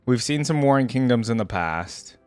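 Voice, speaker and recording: A man's voice: medium pitch, lively intonation, moderate pace, music in the background. A man hosting a casual reaction commentary, speaking informally and musing aloud. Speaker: reaction video host.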